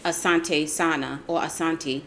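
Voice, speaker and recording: American woman co-host, low-pitched, chatty and patient, faint noise behind. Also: steady tone, measured pace, fairly bright tone. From United States. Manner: chatty, explains patiently with fillers. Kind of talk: casual travel chat.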